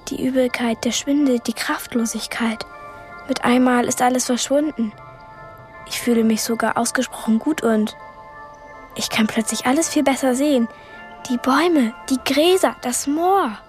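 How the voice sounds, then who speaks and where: Woman as an intense, high-voiced girl narrator. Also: normal speed, faint music behind, close to an everyday voice. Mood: hushed wonder. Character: young girl heroine narrating her inner thoughts. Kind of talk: first-person radio drama narration.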